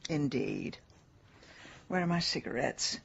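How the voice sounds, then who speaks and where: A bossy English amateur sleuth in a radio play. She speaks slowly with a creaky, low-set voice that still swoops expressively, dry and imperious. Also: lively intonation, full character voice. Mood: wry. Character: sharp, wry, bossy amateur detective woman. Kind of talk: radio drama acting. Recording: dull, noisy radio recording.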